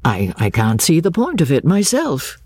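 A woman's voice: sits low, wildly swooping pitch, a little mellow, breathy edge, no echo, studio quality, voice pushed up, exaggerated voice. A woman reading a storybook as a courteous, earnest and puzzled clergyman who speaks hesitantly. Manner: hesitant, halting, deliberate.

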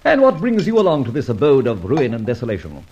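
A man acting a flippant, breezy gentleman adventurer in an old radio drama, bantering with polite little stammers. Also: medium pitch, huge pitch swings, fast, husky, highs cut off, exaggerated voice.

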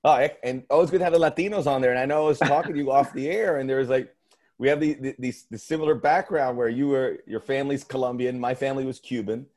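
A man's voice: medium pitch, fast talker, darkish tone. Earnest American man hosting a podcast. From United States. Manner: earnest, emphatic, often restarts phrases. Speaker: podcast host. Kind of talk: casual podcast talk.

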